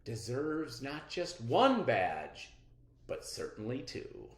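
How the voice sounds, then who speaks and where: A storybook reader voicing an impressed professor judging a contest, with emphatic, drawn-out praise. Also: mid-range voice, sing-song, slight background noise. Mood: delighted. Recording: roomy, echoey sound.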